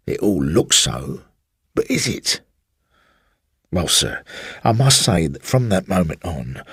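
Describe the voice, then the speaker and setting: A man reading a first-person ghost story for radio in a confiding, measured way, with old-fashioned country turns of phrase and asides to a listener as "sir". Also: sits low, creaky, fairly bright tone, husky, no echo, studio quality.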